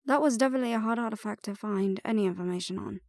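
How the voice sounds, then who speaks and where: A woman's voice: mid-range voice, very fast, darkish tone, dry recording, studio quality, close to an everyday voice. A woman acting in an audio roleplay as an aristocratic archaeologist, sardonic, self-deprecating and prone to awkward rambling. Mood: composed, wry.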